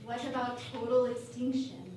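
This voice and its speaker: A sensible, exasperated female starship officer, played by a woman in a parody radio play. Her high voice moves slowly and pointedly. The room is very echoey, with clear background noise. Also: distant mic, natural voice. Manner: pointed, corrective.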